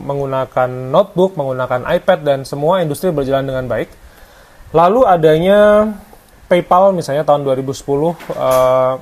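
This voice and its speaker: A man's voice: a little high, darkish tone. A man, talking casually to camera as a photographer vlogger, with frequent fillers and English words mixed in. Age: 30s. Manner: conversational, hesitant with fillers, mixes in English words. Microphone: clip-on lavalier.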